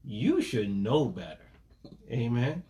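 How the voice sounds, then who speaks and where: Pastor, male, conversational sermon style with amens and homely stories; middle pitch. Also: sing-song, slow, mellow. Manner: preaching with call-and-response, folksy asides, repeated "amen".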